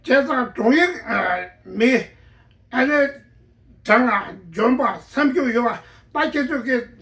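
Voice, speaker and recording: Slow, halting woman in an echoey room. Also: fairly high pitch, a bit bright, recorded from a distance. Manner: halting, with many pauses and restarts. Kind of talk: spontaneous personal account.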